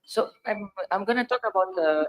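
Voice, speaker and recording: A middle-aged woman from Lebanon telling a personal story on a discussion panel, speaking in short, deliberate phrases. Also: mid-range voice, low-quality recording.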